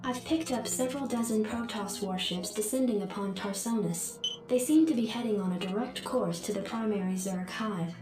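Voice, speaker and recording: A woman's voice: medium pitch, normal speed. An actress voicing a formal female ship adjutant in a video game, giving impersonal status reports through an echoing computer effect. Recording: very echoey, distant, music behind.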